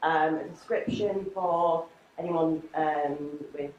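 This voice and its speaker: A woman's voice: low voice, fairly even intonation, slow, a little mellow, muffled recording, a little hiss or hum. A woman in her thirties with a cold, chairing a conference panel in a friendly, chatty way, with many ums. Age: 30s. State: has a cold, sniffly. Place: conference venue.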